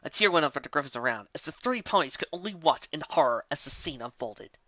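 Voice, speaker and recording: A woman's voice: sits low, animated, quick pace, a bit bright, no echo. A woman narrating a fantasy story in a dramatic reading style, on a slightly dull recording. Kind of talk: dramatic reading of fan fiction.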